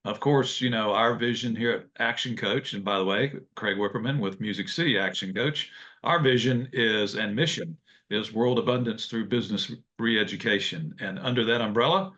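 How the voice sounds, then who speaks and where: A man hosting a business podcast, speaking in a friendly, halting way with many filler words. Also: on the low side, a bit bright, dull audio. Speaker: podcast host. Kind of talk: podcast interview.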